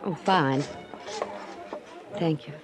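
An actress playing an earnest, forward young woman in a 1950s radio drama, speaking coyly and deliberately. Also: sits low, over music. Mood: coy, probing.

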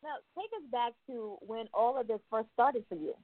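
A woman interviewer asking questions in a slow, hesitant way. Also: fairly high pitch, lively intonation, no room sound. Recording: tinny phone-line sound.

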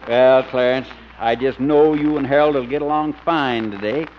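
An actor playing a folksy, joking small-town marshal with rustic talk in 1950s radio comedy style. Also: male voice, medium pitch, moderate pace, close to an everyday voice. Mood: genial. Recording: muffled 1950s radio recording, faint noise.

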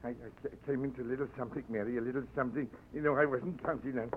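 A man's voice: medium pitch, fairly even intonation, rapid-fire, very noisy, natural voice. An actor playing a boastful, panicky drunken informer, stammering as he talks, in a 1940s radio drama on a muffled old broadcast. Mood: sheepish. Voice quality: blustering, stammering.

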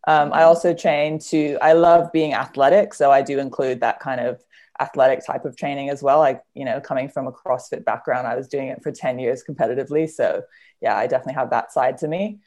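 A woman in her thirties, a podcast guest, talking in a friendly, relaxed way. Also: extremely low pitch, a little fast. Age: 30s. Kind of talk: casual group chat.

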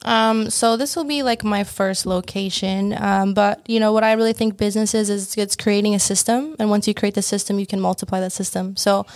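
A woman's voice: mid-range voice, fairly even intonation, a little fast, few pauses, a little mellow, dry recording, studio quality. A young woman from northern Vietnam, a salon owner talking casually and bluntly about her business plans, swearing now and then. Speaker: lash salon owner, guest. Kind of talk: casual podcast chat.

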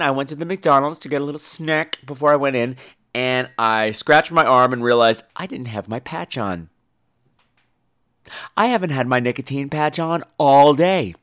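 A man's voice: on the high side, smooth. A man hosting a podcast, ranting casually and profanely about his day while losing his voice to a coming cold. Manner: profane, exasperated, animated ranting. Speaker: horror podcast host. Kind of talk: casual solo podcast rant.

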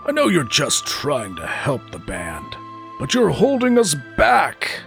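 A man's voice: a little high, huge pitch swings, takes it slowly, gravelly, a bit bright, soft background music, pitched above the performer's own voice, full character voice. A man voicing a brash, greedy young brother. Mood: defiant. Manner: defiant, blunt, scolding. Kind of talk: audiobook character voice.

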